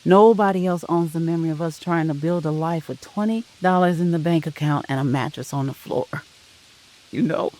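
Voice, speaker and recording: A narrator voicing a loving middle-aged husband in an audio drama, soft, tender and reassuring. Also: medium pitch, normal speed, natural voice, low-quality recording. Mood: tender, earnest.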